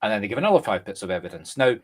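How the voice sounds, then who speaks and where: A Scottish man, a medium and co-host, chatting casually and explaining through lively examples. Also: on the low side, racing. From Scotland. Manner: animated, explains through examples and acted-out quotes. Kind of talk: casual conversation.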